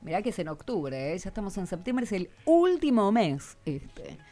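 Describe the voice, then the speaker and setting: A woman radio host with a Buenos Aires accent talks in an animated, swooping voice. She explains and advises in an informal, teacherly way, with faint music underneath. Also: medium pitch, moderate pace. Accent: Buenos Aires Argentine Spanish. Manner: informal, didactic, advice-giving. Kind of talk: conversational radio interview.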